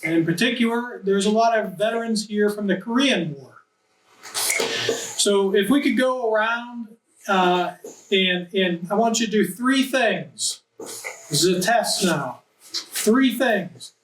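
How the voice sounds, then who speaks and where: A man hosting a group interview, friendly and measured, putting simple questions to each guest in turn. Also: high-pitched, expressive, a bit bright, slight background noise. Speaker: interviewer, center director. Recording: echoey room, mic at a distance.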